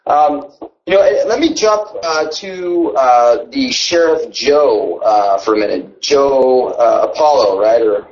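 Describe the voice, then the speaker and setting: A man hosting a call-in radio show, asking questions in a halting way with many fillers. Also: medium pitch, animated, a little mellow. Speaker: radio host. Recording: dull lo-fi radio audio.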